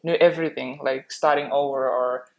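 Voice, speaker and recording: A woman's voice: extremely low pitch, steady tone, brisk. A woman from Finland with a Finnish accent, casually explaining and chatting as a podcast co-host.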